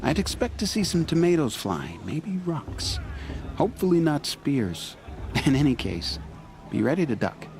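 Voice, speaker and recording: A man playing a gentle, grateful young tailor in a video game, eager and polite with soft humor. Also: fairly high pitch, sing-song, measured pace. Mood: helpful, wry.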